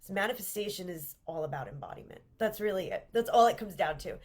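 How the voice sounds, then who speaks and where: A woman's voice: medium pitch, lively intonation, fast. Congested woman leading a live workshop. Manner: warm, earnest and encouraging, with repeated restarts. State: congested with a cold. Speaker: manifestation coach hosting a livestream workshop. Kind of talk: live-streamed workshop talk. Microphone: livestream camera mic.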